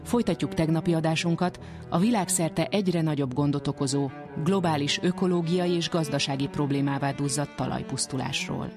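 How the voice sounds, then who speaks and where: Lady radio host reading her script, lowish voice, bit of music underneath. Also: fairly even intonation. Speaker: radio programme presenter. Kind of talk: scripted narration.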